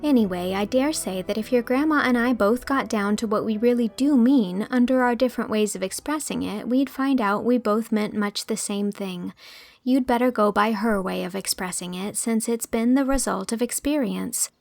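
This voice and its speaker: A woman's voice: fairly high pitch, normal speed, gravelly, no room sound. A woman voicing a kind, sensible young schoolteacher who sounds sincere and reassuring in an audiobook story. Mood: gently solemn. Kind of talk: dramatised audiobook acting.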